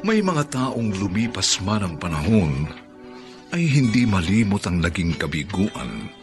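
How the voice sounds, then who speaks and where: A man's voice: sits low, big pitch swings, measured pace. A man with a Filipino accent giving solemn, dramatic scripted narration as the host of a radio drama. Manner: solemn, proverbial. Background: music.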